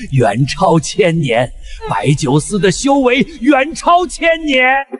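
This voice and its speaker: A man's voice: sits high, expressive, very slow, exaggerated voice. A man acting a brooding figure in a Chinese fantasy audio drama. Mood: awed.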